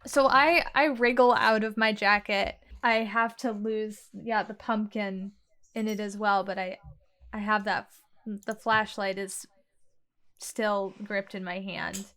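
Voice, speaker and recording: Female game player, smooth clear mid-range voice, improvising her character's actions in casual first person. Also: mid-range voice, fairly even intonation, unhurried, a bit bright. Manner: conversational, piecemeal phrasing. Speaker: tabletop role-playing game player.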